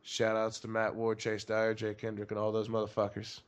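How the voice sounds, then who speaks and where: A man from Tennessee with a faint trace of its accent and a rough smoker's voice, a podcast guest talking bluntly in casual chat with lots of stammered restarts. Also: fairly low pitch, completely flat delivery, moderate pace. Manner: blunt, rambling, many restarts. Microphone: podcast microphone.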